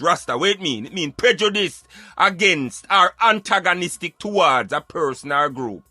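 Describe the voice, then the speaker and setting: A man's voice: on the high side, sharp tone, no room sound. A man speaking Jamaican Patois in an emphatic, argumentative, preaching way, as in opinionated talk show commentary. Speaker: radio show host.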